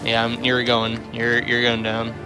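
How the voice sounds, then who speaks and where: A man's voice: mid-range voice, completely flat delivery, a little slow. A man giving casual livestream commentary on a game, rambling and thinking aloud as he plays. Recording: music behind the voice. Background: game music.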